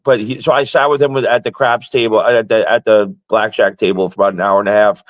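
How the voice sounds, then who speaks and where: A man's voice: lowish voice, steady tone, quick pace, no room sound. Blunt, swearing man with a stutter. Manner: blunt, swears freely, repeats words when starting. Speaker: guest. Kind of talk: casual chat.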